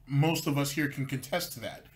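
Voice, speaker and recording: A man's voice: mid-range voice, moderate pace. Dry sarcastic male commentator. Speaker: commentary host.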